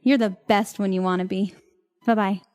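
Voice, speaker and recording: A woman's voice: medium pitch, steady tone, moderate pace, darkish tone, long reverb tail, natural voice. A woman acting an affectionate, playful young wife in an audio play, teasing and upbeat. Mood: excited, loving.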